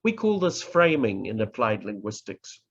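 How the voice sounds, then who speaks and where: A man with a New Zealand accent giving a public speaking workshop, talking in a measured, deliberate way and stressing words to demonstrate. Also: fairly low pitch, sing-song, slightly rough. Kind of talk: slow instructional presentation. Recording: dull lo-fi recording.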